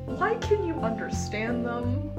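Animated, high-voiced woman chatting casually. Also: slow, over music. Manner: giggly, sweary, animated. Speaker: podcast co-host. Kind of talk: casual comedic chat.

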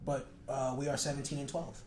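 A man's voice: mid-range voice, little pitch movement, a little fast, a crowd in the background. A man co-hosting a casual sports podcast, bantering with a dry, teasing, profane edge. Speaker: sports talk podcast co-host. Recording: echoey room with other voices around.

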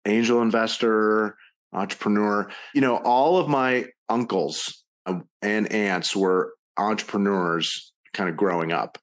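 Very deep-voiced middle-aged man. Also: unhurried. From United States (Ohio). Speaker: podcast guest, entrepreneur. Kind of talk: casual interview chat.

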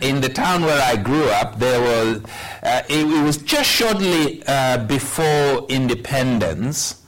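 A man in his sixties preaching a sermon, telling a personal story in a measured way with wry humor. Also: mid-range voice, rough recording. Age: 60s. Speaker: pastor.

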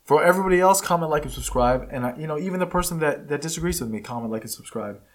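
A man's voice: fairly high pitch, animated, racing. A man whose first language is Spanish, hosting a podcast and giving a blunt, emphatic opinion monologue full of restarts and self-corrections. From United States. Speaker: podcast host. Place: California.

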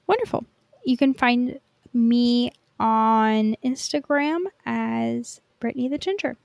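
A woman's voice: on the high side, slow pace, vocal fry, a little mellow, dry recording. A woman co-hosting a podcast in casual chat, with an informal, self-deprecating manner. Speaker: podcast co-host.

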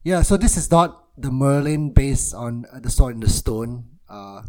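Man from Malaysia, mid-range voice, loose and chatty film podcast co-host who trails off softly. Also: medium pitch, a little slow. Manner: relaxed, many ums and quiet trailing endings, laughs easily. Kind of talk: casual film podcast chat.

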